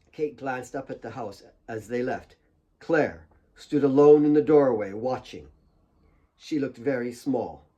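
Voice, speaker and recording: A man's voice: on the high side, dark tone, slightly rough, muffled recording. A man reading his own novel aloud as a dramatic audiobook narration.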